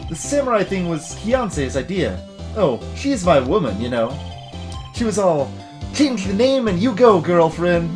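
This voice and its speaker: A woman voicing a goofy, excitable young man who talks in boastful slang and stammers when flustered, in video game dialogue. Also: fairly low pitch, measured pace, music in the background. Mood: breezy, boastful. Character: goofy, excitable young man, slacker hot dog vendor. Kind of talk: voiced visual novel game dialogue.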